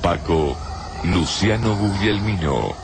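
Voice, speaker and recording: A man's voice: low voice, expressive, slow. A man reading scripted radio drama credits as an announcer, over a noisy background.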